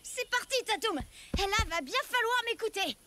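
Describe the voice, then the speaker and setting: A performer voicing a spirited, competitive cartoon child with a bossy, excitable manner and a streak of exasperation. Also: very high-pitched, normal speed, very noisy. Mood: determined. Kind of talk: cartoon voice acting in French dub. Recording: loud music behind the voice.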